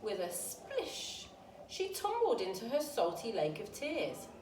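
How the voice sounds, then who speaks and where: A woman reading a children's bedtime story aloud in an expressive, dramatic storytelling style. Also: on the high side, takes it slowly. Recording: roomy, distant mic with faint noise.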